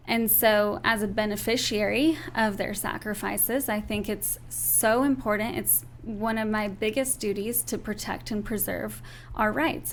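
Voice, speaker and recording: A young woman, raised in Turkey, giving an interview and laying out her views point by point. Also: on the high side. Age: young adult. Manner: earnest, lays out points in order. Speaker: interview guest. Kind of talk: earnest political interview.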